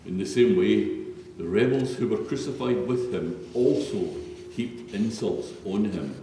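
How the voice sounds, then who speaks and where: A man's voice: on the low side, unhurried. A man narrating scripture solemnly as a reader in a church. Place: large echoey church interior. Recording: distant, very echoey church, faint noise.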